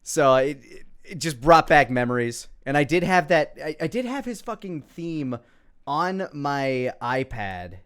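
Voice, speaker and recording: A man's voice: fairly high pitch, sing-song, unhurried, a bit bright, clean tone. A man giving casual, rambling, self-deprecating commentary on his own old videos.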